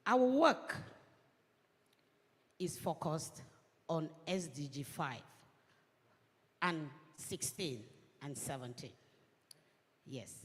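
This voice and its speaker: A woman from Cameroon, speaking as a panelist in measured, earnest phrases. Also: sits low, very animated. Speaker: panelist, women's organization coordinator. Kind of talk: conference panel remarks. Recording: long reverb of a large hall.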